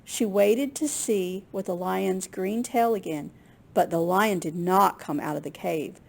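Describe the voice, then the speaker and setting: A woman reading a children's story aloud as an expressive storyteller. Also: mid-range voice, unhurried, vocal fry.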